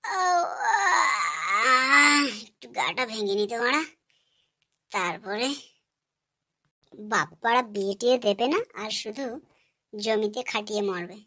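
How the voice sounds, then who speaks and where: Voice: medium pitch, animated, slow pace, pauses often, sharp tone, dry recording, clean studio sound, full character voice. A woman voicing a lazy, insolent grown village son in a comic cartoon, whiny. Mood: whining.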